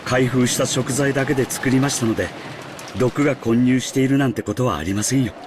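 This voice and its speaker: Casting: male actor as genial, proud servant chef, polite, brisk delivery, game dialogue with rain behind. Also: medium pitch, noisy background, close to an everyday voice. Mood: proud, reassuring. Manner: polite, self-assured. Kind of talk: Japanese visual novel voice acting.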